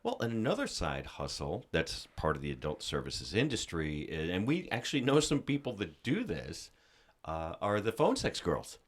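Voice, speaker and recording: A man co-hosting a casual live talk show, with a deep voice and a joking, self-mocking way of bantering. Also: big pitch swings, normal speed. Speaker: co-host. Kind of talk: casual chat.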